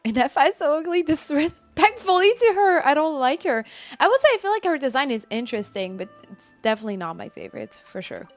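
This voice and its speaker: High-pitched young woman streaming casually. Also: sing-song, a little fast, faint music behind. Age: early 20s. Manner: chatty, reading and answering viewer messages aloud. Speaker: game streamer. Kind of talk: casual livestream chat.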